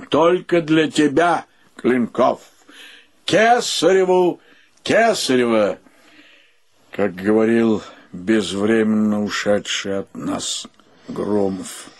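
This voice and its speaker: An actor playing a dry, ironic bachelor wit in a radio play, deadpan and mocking. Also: male voice, lowish voice, slow, pauses often, full character voice. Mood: dry, gloomy. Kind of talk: theatrical radio drama acting.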